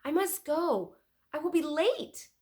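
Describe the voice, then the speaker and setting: A woman voicing an excitable, dreamy older male inventor and artist in a children's storybook, dramatic and emphatic. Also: high-pitched, takes it slowly, voice pushed up. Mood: flustered, urgent. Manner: emphatic, exclaiming. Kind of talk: children's storybook read-aloud.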